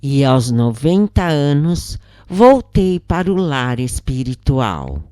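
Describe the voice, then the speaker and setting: Low-voiced Brazilian woman narrating slowly. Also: big pitch swings, dark tone, no echo, clean studio sound. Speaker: narrator reading a spiritist story. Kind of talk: scripted first-person story narration.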